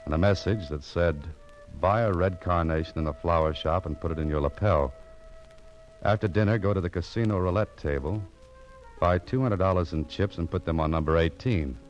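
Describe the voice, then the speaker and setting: An actor playing a world-weary, wry American private detective in a deadpan radio noir style. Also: male voice, deep voice, normal speed, soft background music, natural voice. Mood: wry suspense. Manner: dry, deadpan, wisecracking. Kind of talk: 1940s-50s radio noir narration and dialogue. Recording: muffled vintage radio recording.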